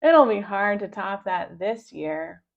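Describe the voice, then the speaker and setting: A woman's voice: mid-range voice, lively intonation, takes it slowly, gravelly, dull audio. A woman voicing a confident, mischievous schoolboy prankster with a casual, breezy manner in a children's storybook. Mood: sly. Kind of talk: children's storybook read-aloud.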